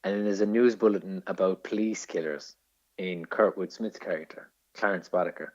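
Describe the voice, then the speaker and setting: Deep-voiced Irish man, slightly muffled podcast. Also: steady tone, fairly quick, a little mellow. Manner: enthusiastic, sweary film-geek explainer. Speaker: podcast co-host. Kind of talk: casual film discussion. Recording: dull lo-fi podcast audio.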